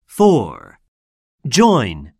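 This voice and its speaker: A woman reading a scripted textbook listening drill, saying words slowly and deliberately for learners to repeat. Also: rumbling low, huge pitch swings, warm tone, breathy edge, dry recording, studio quality. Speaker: lesson narrator.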